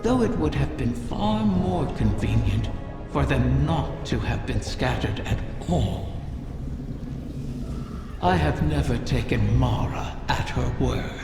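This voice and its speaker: A woman voicing an ancient, vengeful female dragon spirit in a video game, cunning and imperious, with a gravelly, menacing delivery. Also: extremely low pitch, full character voice. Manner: slow, deliberate, menacing delivery. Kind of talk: dramatic video game voice acting. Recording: cavernous long reverb, music underneath. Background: clear music.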